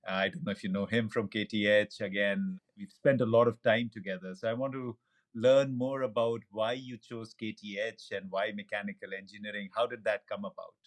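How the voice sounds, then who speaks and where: A man with a fairly low voice hosts a podcast interview. He is effusive, heaps praise on his guest and asks questions eagerly in a conversational way. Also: steady tone, fairly quick. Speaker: podcast host.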